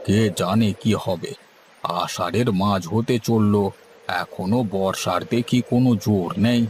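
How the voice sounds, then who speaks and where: A man's voice: on the low side, unhurried, darkish tone, close to an everyday voice. A man plays a seasoned river fisherman. He is boastful and folksy, instructing his junior like a know-it-all elder brother in a cartoon tale. Faint ambient noise sits behind him. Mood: assured, explaining. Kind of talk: Bengali cartoon story dialogue.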